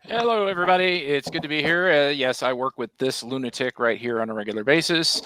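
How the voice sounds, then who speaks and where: A man's voice: fairly high pitch, fast talker, noisy background. A man chatting casually as a panelist, with a wry, joking manner. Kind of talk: casual chat.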